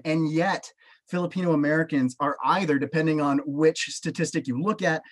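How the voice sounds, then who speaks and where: Brief: Canadian man, 30s, emphatic testimony over a webcam, a bit higher-pitched than most men. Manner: earnest, emphatic, gestures with both hands. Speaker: panelist, professor. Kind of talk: personal testimony on a video call. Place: small home office with bookshelves. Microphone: webcam on a video call.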